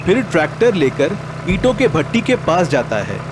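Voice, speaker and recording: A man's voice: high voice, sing-song, normal speed, noisy background. A man reading scripted storybook narration as the narrator of a tale.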